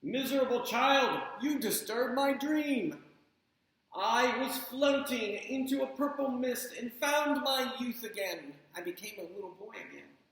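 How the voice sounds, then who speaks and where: A woman voicing a grumpy old man woken from a dream, grumbling slowly and dreamily. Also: a little high, voice pushed up. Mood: grumpy dreamy. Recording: echoey room.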